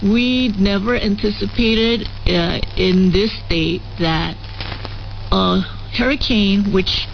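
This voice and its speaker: A woman official gives halting public remarks at a slow pace, with plenty of vocal fry. The sound is dull and slightly noisy. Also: medium pitch. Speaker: government official. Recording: muffled, lightly noisy radio relay.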